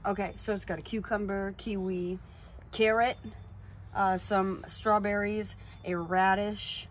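A woman's voice: mid-range voice, a little slow, slight background noise. Chatty young woman at a campsite. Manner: chatty, excitable, trailing off with fillers. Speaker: vlogger. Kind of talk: casual camping vlog. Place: outdoor campsite beside a camper trailer. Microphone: camera on a tripod a few feet away.

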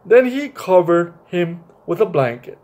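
A man's voice: sits high, very animated, unhurried, a little mellow. A young man reading a picture book aloud for children and chatting about it, often stumbling and repeating his words. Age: young adult. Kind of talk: read-aloud story time for children, with informal commentary. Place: small bright room indoors.

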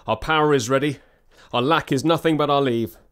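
An actor playing a brooding exiled prince with measured oratory in classical radio drama. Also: male voice, medium pitch, a bit bright, clean tone, natural voice. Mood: rousing. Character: Malcolm, brooding exiled prince rallying men to revenge.

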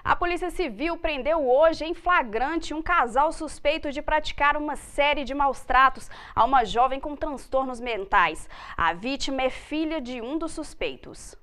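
A young woman news anchor reading stories in a formal, steady way from a TV studio. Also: sits high, normal speed. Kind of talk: read news presentation.

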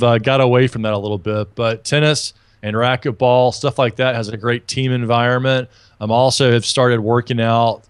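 A man's voice: medium pitch, clear, resonant tone, no room sound. A man in his thirties from Alabama, a guest chatting upbeat and talkative on a video call through an earbud headset mic, restarting his sentences often. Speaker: podcast guest. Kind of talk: casual video-call interview.

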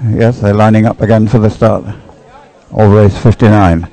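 A man's voice: fairly low pitch, little pitch movement, moderate pace, warm tone. A man giving live sports commentary in a rambling, hesitant way, as if thinking aloud.

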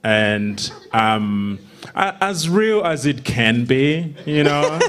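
Halting young man telling stories on stage. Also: on the high side, animated, a bit bright. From Rwanda. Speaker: stand-up comedian guest. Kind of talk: casual humorous storytelling in interview. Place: theatre stage before a seated audience. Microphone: handheld microphone.

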